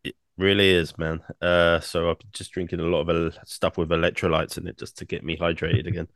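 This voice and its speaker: A young man, co-hosting a casual podcast, rambling with many fillers and restarts, his throat croaky from singing. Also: deep voice, level tone, brisk, a bit bright, dry recording, studio quality. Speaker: podcast co-host.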